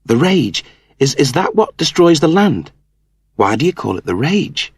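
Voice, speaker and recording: A man's voice: a little high, very animated, a little slow, breathy edge, no echo, pitched above the performer's own voice, heavily acted. A casual, uneasy everyman adrift in space played by a male reader. He sounds puzzled and hesitant, with informal phrasing, in a close dry science fiction audiobook.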